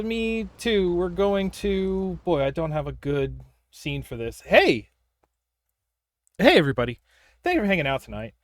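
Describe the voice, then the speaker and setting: A man's voice: on the high side, no room sound. A man hosting a livestream in casual gaming chat, thinking aloud and reacting to play as he goes. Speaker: livestream host.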